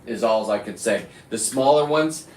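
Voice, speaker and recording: A man hosting a casual livestream, talking in a halting, digressive way as he chats to someone off-screen and to his viewers. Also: on the low side, lively intonation, a little mellow. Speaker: live stream host. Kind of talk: casual, rambling hands-on livestream chat. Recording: echoey room, distant from the mic.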